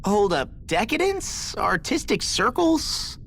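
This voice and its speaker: A brash, cheeky young man who jokes and talks cockily. His pitch moves lively, with faint noise behind. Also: slow pace, gravelly, full character voice. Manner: cocky, joking.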